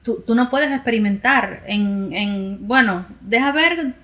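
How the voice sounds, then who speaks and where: A Cuban woman hosting a chat podcast. Her voice sits a little high, and she explains things in long, reflective runs. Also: a little slow, a bit bright. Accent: Cuban Spanish. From Cuba. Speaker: podcast host. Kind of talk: casual conversation.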